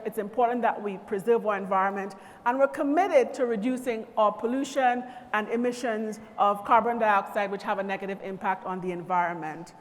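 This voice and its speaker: A woman from Trinidad and Tobago giving an encouraging keynote speech to students at a conference, speaking in a measured way. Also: fairly high pitch. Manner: measured, encouraging, lists things slowly. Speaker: conference speaker from a power company. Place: large convention hall. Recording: long reverb of a large hall.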